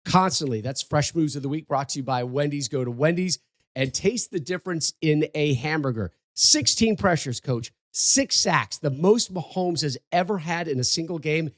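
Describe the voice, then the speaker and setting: A man's voice: fairly high pitch, expressive, normal speed, no echo, studio quality. A man hosting a casual sports podcast, upbeat as he reads sponsor lines and plugs the show. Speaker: podcast host.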